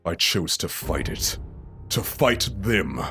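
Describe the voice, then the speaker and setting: A man's voice: deep voice, lively intonation, gravelly, pushed and bright, faint music behind, full character voice. An actor playing a proud, hot-tempered but tender king of evil in a theatrical comic dub. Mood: grave, tender. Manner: theatrical, slow and deliberate. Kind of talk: dramatic comic-dub voice acting.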